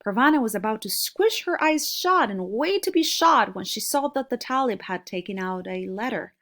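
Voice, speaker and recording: A woman's voice: fairly high pitch, animated, normal speed, a bit bright. A woman reading a children's novel aloud as a storybook narrator.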